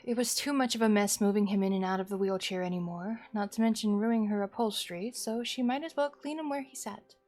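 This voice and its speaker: A woman streamer reading a horror visual novel aloud as expressive narration. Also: mid-range voice, brisk, few pauses. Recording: music behind the voice. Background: music.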